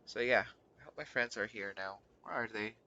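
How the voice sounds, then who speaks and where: A low-pitched man streams a video game, talking in a casual, rambling way. His voice is forward and bright, though the microphone sounds dull and lo-fi. He often repeats and rewords his phrases as he goes. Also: fairly quick, gravelly. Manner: rambling, repeats and rephrases himself. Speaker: livestreamer playing a video game. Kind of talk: casual live stream chat. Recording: muffled lo-fi mic, faint music behind.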